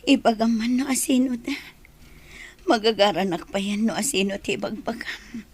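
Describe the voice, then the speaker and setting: Woman acting high voiced ilocano daughter, very emotional, radio play style. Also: moderate pace, breathy edge. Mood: hushed, eager. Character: emotional grown daughter and mother seeking her mother's forgiveness.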